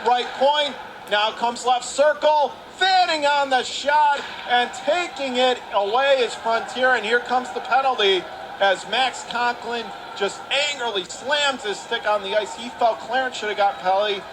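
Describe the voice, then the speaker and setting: A male sports commentator with a notably high voice calls a live hockey game. He speaks in short, clipped phrases and lifts into excitement on big plays. Clear rink noise runs behind him. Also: unhurried. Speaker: radio play-by-play commentator. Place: indoor ice hockey arena.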